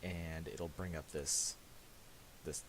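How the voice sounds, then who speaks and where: A man presenting a casual software tutorial in a halting, mumbled way, on a hissy home recording with clicks. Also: rumbling low, steady tone, low-quality recording.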